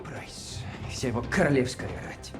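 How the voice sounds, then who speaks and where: A man's voice: mid-range voice, animated, normal speed, music in the background, natural voice. An actor voicing a cold, commanding male terrorist leader in a Russian-language video game cutscene.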